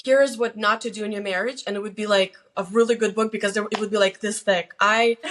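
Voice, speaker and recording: A woman in her forties from Lithuania, a guest telling personal stories casually in an animated, self-deprecating way. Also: medium pitch, fairly quick, slight background noise. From Lithuania, moved to the US as a teen. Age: 40s. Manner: animated, self-deprecating, quotes herself and others. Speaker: guest on her daughter's show.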